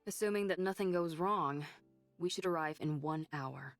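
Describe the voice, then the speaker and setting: A capable young female soldier who knows her machines and frets over her squad. Her voice sits fairly low for a woman, in anime game style. Game music runs underneath. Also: normal speed, no room sound. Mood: focused, confident.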